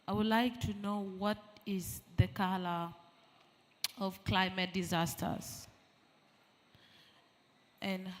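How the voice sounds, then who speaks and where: Woman, very slow and deliberate, earnest speech, long hall reverb. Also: on the low side. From Uganda. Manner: slow, earnest, asks rhetorical questions. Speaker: refugee representative, panel speaker. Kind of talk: conference statement. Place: large conference hall.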